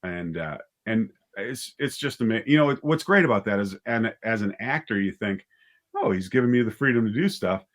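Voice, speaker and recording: Male, low-pitched voice, relaxed interview guest; a writer-director chatting through anecdotes. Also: normal speed. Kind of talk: casual interview chat.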